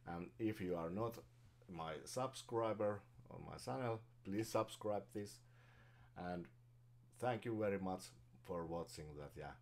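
A middle-aged man with a Finnish accent, teaching jazz guitar at home in halting, hesitant English full of fillers and restarts. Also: low voice, a little mellow. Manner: halting, searching for words, many fillers and restarts. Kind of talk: casual guitar lesson. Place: small bedroom at home. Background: his own jazz guitar playing.

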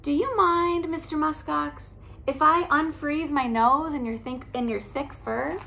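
A polite arctic fox from a storybook, asking sweetly in a lifted voice, as a woman reads her aloud. The delivery is slow and a bit gravelly. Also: high-pitched, fairly even intonation, sharp tone, pitched above the performer's own voice, exaggerated voice.

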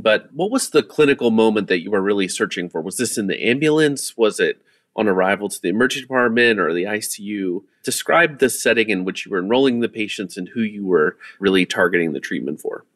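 Male host, somewhat deep voice, clinical interview style for a medical podcast. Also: a bit bright. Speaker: host, physician journal editor.

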